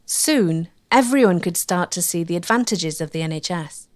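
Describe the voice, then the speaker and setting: A woman's voice: fairly low pitch, animated, normal speed, noisy background. A woman, delivering scripted documentary narration.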